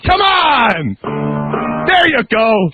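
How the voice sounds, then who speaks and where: A man's voice: very high-pitched, huge pitch swings, very slow, over music. A bossy, impatient man badgering a guest in confrontational radio banter. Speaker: radio show personality questioning a guest. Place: radio studio. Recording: dull lo-fi radio broadcast, noisy.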